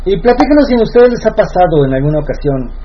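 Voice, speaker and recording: An animated man co-hosts a radio show. His voice is high for a man and swings widely in pitch. The sound is a little dull, with faint noise. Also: fast, slightly rough. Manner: animated, joking. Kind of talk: casual radio chat.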